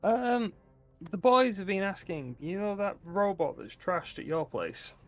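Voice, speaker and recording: A man's voice: high voice, lively intonation, a little slow, faint music behind, pitched above the performer's own voice. A man voicing a plain-spoken old settler in a tabletop roleplaying game, casual, matey and down-to-earth.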